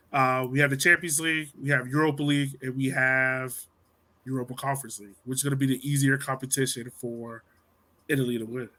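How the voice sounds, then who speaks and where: A man hosting a podcast in a casual sports chat, informal and slangy, telling things in an animated way. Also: medium pitch. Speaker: podcast host.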